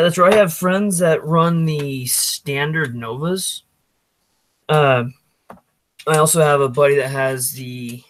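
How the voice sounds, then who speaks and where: A man hosting a casual livestream, talking off the cuff and thinking aloud as he goes. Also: mid-range voice. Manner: off-the-cuff, thinking aloud while reading chat. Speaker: livestream host.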